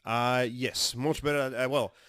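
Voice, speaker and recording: A man's voice: medium pitch, fairly even intonation, measured pace, a bit bright, clear, resonant tone, no room sound, studio quality. A man of Cuban background co-hosting a podcast, joking and swearing freely as he talks. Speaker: podcast co-host. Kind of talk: casual podcast chat.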